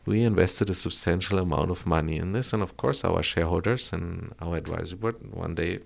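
A middle-aged man from Colombia talks as a podcast guest. His voice sits low and has a gravelly, creaky edge. He explains in long, patient runs and keeps asking "right?" to check the listener is with him. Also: fairly quick. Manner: explanatory, frequent tag "right?". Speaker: guest, former auto executive. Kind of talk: podcast interview.

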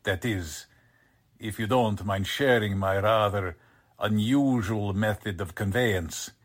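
A man's voice: lowish voice, takes it slowly. A man playing a suave, sardonic, coldly threatening con artist who poses as a count, in a radio drama. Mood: suave menace. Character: menacing male con artist posing as a Hungarian count.

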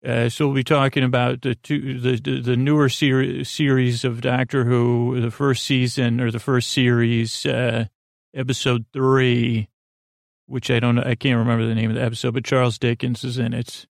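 Creaky, meandering male sleep-podcast host. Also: medium pitch, little pitch movement, normal speed, dry recording, studio quality. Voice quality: creaky, soothing. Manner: meandering, full of fillers and restarts. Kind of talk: rambling bedtime monologue.

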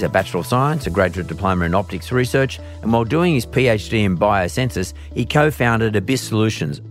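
A middle-aged man hosting an interview podcast, speaking in a measured, deliberate way with restarts and fillers. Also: on the low side. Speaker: podcast host. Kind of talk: interview chat with scripted intro.

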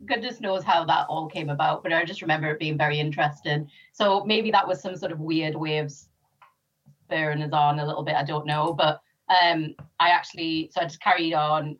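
Low-voiced North East English woman. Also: moderate pace, a bit bright, highs cut off. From Sri Lanka, raised in North East England. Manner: chatty, hesitant with many ums, laughs easily. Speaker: interview guest, former police officer. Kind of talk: casual interview chat.

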